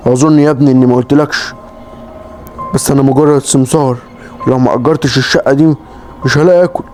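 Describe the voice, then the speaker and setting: A man with an Egyptian Arabic accent voicing a weary older male flat broker who talks in a resigned, fatherly way. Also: medium pitch, fast talker, faint music behind, natural voice. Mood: grave.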